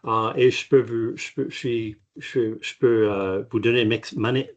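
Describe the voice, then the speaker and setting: A man, a native French speaker, answering technical questions on a webinar in a halting way with many restarts. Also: medium pitch, steady tone, muffled recording. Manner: halting, frequent restarts and fillers. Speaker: company chairman, guest expert. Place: video call.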